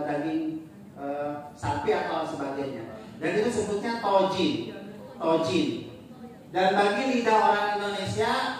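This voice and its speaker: Lecturer in a very echoey hall. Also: medium pitch. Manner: mixes in Arabic phrases and quizzes the audience; joking, chatty asides. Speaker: lecturer presenting slides. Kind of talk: casual classroom talk with slides. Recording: very echoey room, distant mic, faint noise.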